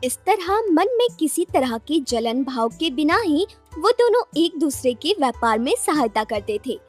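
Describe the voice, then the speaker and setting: High, lively woman storyteller. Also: a little slow, soft background music. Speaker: narrator. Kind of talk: scripted children's story narration.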